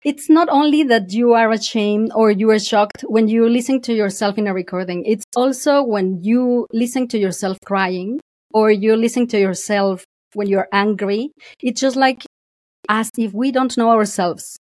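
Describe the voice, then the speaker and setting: A woman's voice: fairly high pitch, moderate pace, no room sound. A woman guest in a casual podcast conversation, talking expressively and laughing often. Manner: expressive, laughs often, acts out quoted voices.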